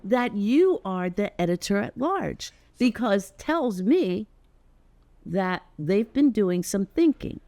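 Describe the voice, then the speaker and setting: A woman's voice: mid-range voice, big pitch swings, slow, dark tone. An older woman hosting a casual interview, playful and teasing as she asks questions. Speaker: host.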